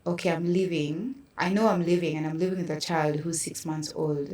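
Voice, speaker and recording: A woman's voice: deep voice, steady tone, fairly quick, a little mellow. A woman with a Kenyan accent speaking earnestly and reflectively in a conversational interview. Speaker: podcast guest, artist. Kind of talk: personal testimony in conversation. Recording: roomy echo, distant from mic.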